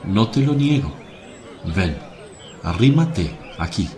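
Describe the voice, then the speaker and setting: A man playing Jesus as a gentle, solemn teacher who preaches in measured, declamatory phrases in a religious radio play. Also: lowish voice, big pitch swings, a crowd in the background. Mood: tender, reassuring.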